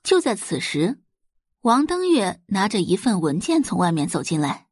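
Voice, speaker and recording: Expressive woman narrator, lively swooping pitch. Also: normal speed, slightly rough, dry recording, clean studio sound. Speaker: audio drama narrator. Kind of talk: scripted dramatic narration.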